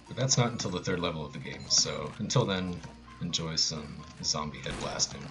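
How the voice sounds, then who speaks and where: Low-voiced American man commentating over music. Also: normal speed. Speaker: speedrunner commentator. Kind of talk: casual live speedrun commentary.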